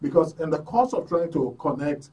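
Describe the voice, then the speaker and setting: A man with a Nigerian accent hosting a live radio talk show, speaking effusively in a halting, repetitive way. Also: on the high side, lively intonation, mellow, recorded from a distance. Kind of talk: live broadcast sign-off, thanking guests.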